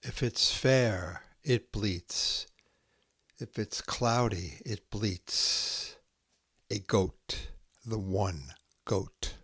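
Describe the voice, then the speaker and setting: A man reciting short poems as a host, in a measured, deliberate way with short, spare phrases. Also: on the low side, a bit bright, slightly rough, no room sound, studio quality. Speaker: podcast host reading poetry. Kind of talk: slow, spare haiku recitation.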